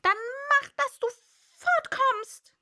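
A woman's voice: very high voice, expressive, slow pace, bright, forceful tone, pitched above the performer's own voice, full character voice. A woman voicing an indignant, scornful mother pigeon in a storybook, screeching and aggrieved as she guards her nest. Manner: scornful, accusing, exclamatory. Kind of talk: storybook character voice.

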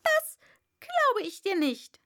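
A woman's voice: very high voice, very animated, slow, bright, forceful tone, pitched above the performer's own voice, full character voice. A woman voicing an indignant, scornful mother pigeon in a storybook, screeching and aggrieved as she guards her nest. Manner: scornful, accusing, exclamatory. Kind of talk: storybook character voice.

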